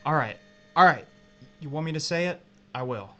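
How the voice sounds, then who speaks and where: A man playing a guilty, frightened coworker in an audio drama, speaking in a halting, emotional way. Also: on the high side, animated, sharp tone. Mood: reluctant, sorry. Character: guilty, frightened adulterous coworker, pleading then outraged.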